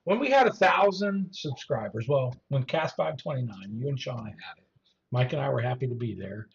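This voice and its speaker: Man, animated, lively pitch, casual chat, muffled lo-fi audio. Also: normal speed, gravelly. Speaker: show co-host. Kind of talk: casual whiskey review chat. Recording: dull lo-fi audio.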